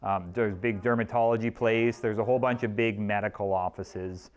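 Male, 30s, chiropractor presenting to a small group, smooth clear voice, relaxed and rambling. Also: lowish voice, moderate pace, dull audio. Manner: friendly, loose, many restarts and fillers. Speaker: chiropractor leading a workshop. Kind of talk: informal presentation with audience questions. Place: small clinic room with a seated audience. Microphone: room camera at a distance.

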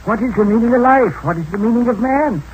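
An actor in 1940s radio acting style playing a mysterious, wise old hermit who talks in a folksy, drawling, philosophical way. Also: male voice, extremely high pitch, expressive, quick pace, dull audio. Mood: musing. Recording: old radio broadcast with faint hiss.

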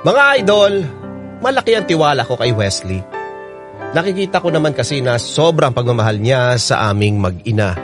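A man's voice: mid-range voice, sing-song, normal speed. A man hosting a radio show, reading a listener's letter as sentimental scripted storytelling. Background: guitar music.

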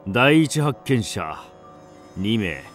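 An actor playing a businesslike police detective in a radio drama, terse and authoritative, with clipped, deliberate delivery. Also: male voice, fairly low pitch, big pitch swings, soft background music, exaggerated voice. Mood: stern, clinical.

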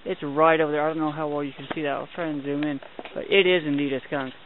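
A young man with a fairly high voice talks through a night hike, excited and chatty as he spots animals. The sound is thin and tinny, like a cheap handheld camera mic. A faint hiss sits under the whole outdoor recording. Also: fast. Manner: excited, chatty, mild minced oaths. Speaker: hiking vlogger. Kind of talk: hushed running commentary while filming.

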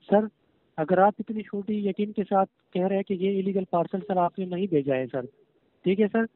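A man's voice: high-pitched, normal speed, no echo. A man speaking as a scripted call-centre agent on a phone call, formal and repetitive, constantly addressing the listener as sir. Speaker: phone scam caller posing as courier agent. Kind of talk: scripted call-centre customer service talk. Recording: tinny narrowband phone line.

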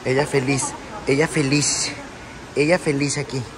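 Relaxed young man vlogging outdoors. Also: mid-range voice, unhurried. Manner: chatty, relaxed, addresses viewers as friends. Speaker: travel vlogger. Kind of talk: casual travel vlog narration. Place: outdoors at a beach resort. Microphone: phone camera at arm's length. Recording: wind and sea noise outdoors.

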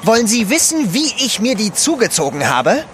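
An actor playing a fussy, loyal manservant in a German audio drama, excitable and quick to complain. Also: male voice, sits high, a little hiss or hum. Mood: indignant.

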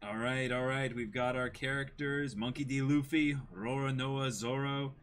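A man's voice: mid-range voice, steady tone, moderate pace, a bit bright, slight background noise. A man in his twenties hosting a livestream, chatting casually and reading aloud in a jokey way, repeating short phrases. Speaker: streamer hosting a manga dramatic reading. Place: small indoor room with backdrop.

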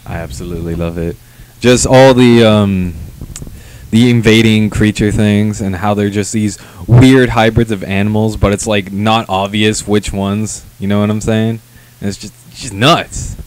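Young man, lowish voice, informal co-host. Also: steady tone, normal speed, a little mellow, smooth, low-quality recording. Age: young adult. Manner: informal, slangy. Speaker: comic review show co-host. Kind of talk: casual chat. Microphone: handheld microphone.

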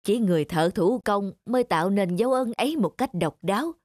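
A woman voicing a gentle, humble old grandfather, a silversmith, in an animated moral story, speaking in a reflective way. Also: mid-range voice, a little fast, no room sound, studio quality, lightly acted.

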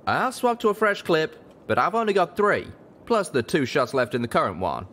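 A man puts on a plain-spoken gunslinger stallion from a storybook. The voice is lifted in pitch. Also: very animated, normal speed, fairly bright tone, slight background noise. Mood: matter-of-fact. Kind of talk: storybook character acting.

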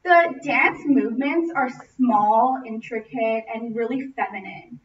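High-voiced young American woman, roomy distant recording. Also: measured pace. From Los Angeles, United States. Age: young adult. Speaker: student speaker. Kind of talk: prepared narrative speech. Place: classroom, indoors. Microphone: distant camera microphone. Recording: roomy, distant, muffled, noisy camera audio.

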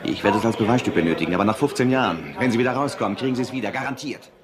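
An actor playing a dogged, old-fashioned police detective in a crime radio drama, dry, blunt and sarcastic. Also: male voice, on the low side, expressive, rapid-fire, crowd noise, natural voice. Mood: dryly sarcastic. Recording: clear background vehicle and street noise.

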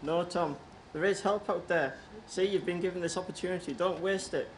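A man's voice: high voice, a little fast, slight background noise, lightly acted. A man playing an earnest, reasonable voice of good conscience in an amateur radio play. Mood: earnest, urging. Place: large conference room before an audience.

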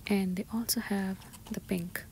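A woman shopping vlogger giving soft, breathy, often half-whispered ASMR-style commentary as she describes items one by one inside a store. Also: medium pitch, fairly even intonation, unhurried. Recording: store ambience noise behind soft voice.

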